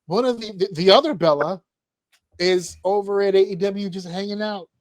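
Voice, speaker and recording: A man's voice: high voice, moderate pace, dry recording. A man hosting a casual wrestling panel chat, joking crudely with his guests. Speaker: podcast host.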